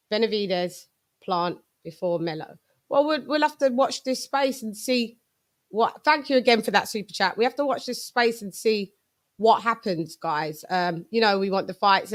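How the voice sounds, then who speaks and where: A British woman hosting a live boxing stream, chatting casually in an effusive, slangy way with shout-outs. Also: mid-range voice.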